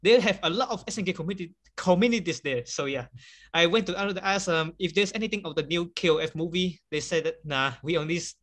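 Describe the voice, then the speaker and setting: A man whose first language is Chinese, chatting casually as an interview guest, laughing often and filling pauses with "like" and "um.". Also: on the high side, fairly bright tone.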